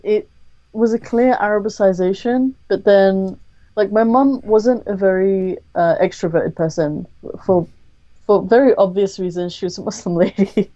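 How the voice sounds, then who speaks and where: A woman guest on a podcast interview, speaking candidly in a thoughtful, measured way with frequent pauses to think. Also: medium pitch, warm tone, dry recording, muffled recording.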